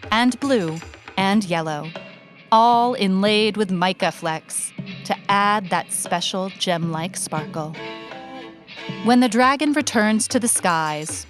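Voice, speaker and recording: A woman's voice: mid-range voice, fairly bright tone. A woman narrating her own scripted short story as an author reading aloud. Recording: music bed behind the voice. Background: music.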